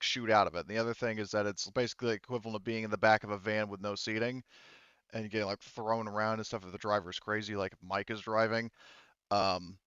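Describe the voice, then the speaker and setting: A man with a smooth, fairly low voice talks through game rules as a game master. He rambles through his explanations conversationally. The recording is dry and a bit muffled. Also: a bit bright, dry recording.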